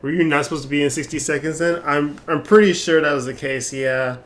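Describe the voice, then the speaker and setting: A man's voice: a little high, brisk, a bit bright. A man giving casual, informal live commentary as he streams a game, reacting aloud to it. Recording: faint background noise.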